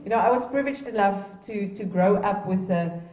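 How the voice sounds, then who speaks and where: A woman's voice: medium pitch, a little fast, darkish tone, slight background noise. Woman giving an echoey speech. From Namibia. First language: Afrikaans. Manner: earnest, exhorting, with restarts. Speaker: opening speaker at a heritage event. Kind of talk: public speech. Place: indoor event at a museum. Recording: echoey room, distant mic.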